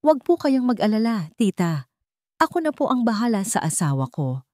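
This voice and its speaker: Woman acting calm, composed young husband. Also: on the high side, moderate pace, no echo, clean studio sound, pitched above the performer's own voice. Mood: calm, respectful. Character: cool, businesslike young husband turning calm and protective.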